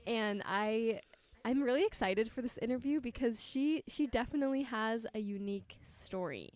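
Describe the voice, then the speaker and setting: A woman's voice: on the high side, moderate pace, a little mellow. A woman hosting a casual interview at a friend's house, bubbly and laughing often as she talks. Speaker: podcast host.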